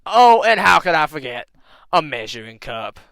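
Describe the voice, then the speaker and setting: Creaky-voiced man, folksy and theatrical. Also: fairly high pitch, very animated, moderate pace, a bit bright, no room sound. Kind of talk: comic instructional narration. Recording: noisy background hiss.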